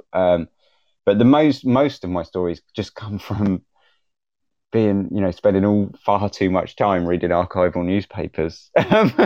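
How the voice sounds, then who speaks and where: Very low-voiced man, muffled sound. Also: a little mellow, no echo. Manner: halting, repeats words while thinking aloud. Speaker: podcaster on a panel. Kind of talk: unscripted panel talk.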